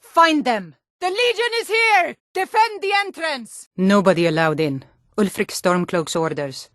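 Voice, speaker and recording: A battle-ready Nord woman from a fantasy video game, gruff and proud. Her voice sits in an average female register with a blunt, martial force. The sound is very dry, with no room around it. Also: medium pitch, lively intonation, a little slow, a bit bright, dry recording. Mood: fierce battle cries.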